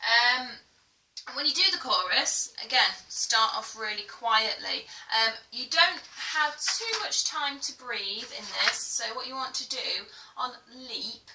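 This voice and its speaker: Bright-voiced British woman in an echoey room. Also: on the high side, animated, slow pace, slight background noise. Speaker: singing teacher. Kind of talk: informal tutorial talk. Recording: echoey room, distant mic, music behind.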